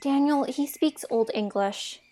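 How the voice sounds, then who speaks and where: Girl actor as spirited young fairytale heroine, high voice, outspoken, easily exasperated, audio drama. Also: unhurried, dark tone, a little hiss or hum. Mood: exasperated, concerned.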